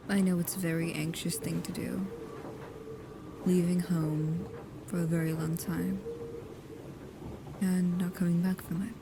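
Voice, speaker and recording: A woman playing a devoted, proud and guarded vampire protector in an intimate ASMR roleplay, speaking softly and haltingly, often dropping into a whisper. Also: fairly low pitch. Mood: gentle concern. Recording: clear background noise with ambience.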